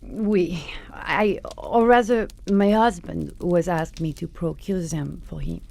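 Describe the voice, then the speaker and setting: Slow-spoken genteel Frenchwoman. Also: on the low side, creaky, warm tone, dry recording, full character voice. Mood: anxious pleading. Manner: formal, hesitant, pleading. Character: genteel Frenchwoman, earnest and anxious, radio drama cousin. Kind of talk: radio drama acting.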